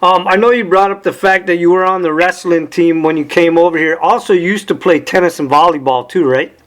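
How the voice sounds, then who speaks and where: A man hosts an interview show, and his voice is pitched noticeably high for a man. He asks his guest direct, conversational questions and checks her facts out loud. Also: brisk.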